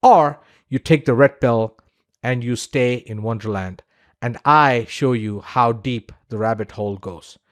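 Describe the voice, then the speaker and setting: A man's voice: mid-range voice, a little slow. A man narrating a scripted promotional course introduction as its instructor, speaking persuasively and directly to the listener with emphasized lists.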